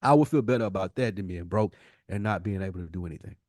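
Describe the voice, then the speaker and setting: A man's voice: deep voice, fast talker, a little mellow, dry recording, studio quality. A man co-hosting a podcast in casual debate, speaking emphatically and repeating himself for stress. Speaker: podcast host.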